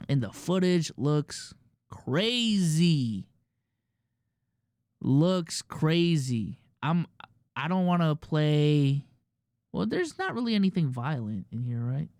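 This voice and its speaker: A man's voice: fairly high pitch, big pitch swings, slow pace, long pauses, darkish tone, dry recording, studio quality. Casual streamer guy with a Filipino accent. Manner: loose slangy talk with many restarts. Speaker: streamer and podcast host. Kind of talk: casual commentary to a live chat.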